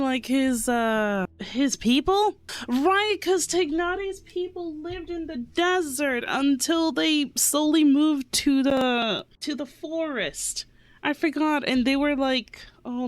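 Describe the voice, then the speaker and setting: A woman's voice: sits high, slow, dry recording. Chatty woman streamer, dry close sound. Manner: chatty, informal, playful. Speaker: streamer reacting to a game story. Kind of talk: casual live reaction commentary. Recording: dry mic, game music behind.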